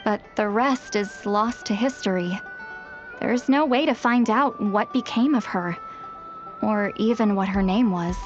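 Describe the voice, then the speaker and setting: An actress voicing a wry, theatrical granny healer who plays the stern villain in a video game. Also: female voice, mid-range voice, measured pace, close to an everyday voice. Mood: grave. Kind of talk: dramatic video game dialogue. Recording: muffled game stream with music.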